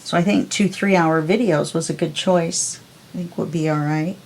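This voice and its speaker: A woman talks through a crafting project in a calm, easygoing voice while she works. Her voice sits low, with a gravelly edge. A faint hiss runs under the recording. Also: moderate pace. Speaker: crafting video host. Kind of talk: casual crafting tutorial chat.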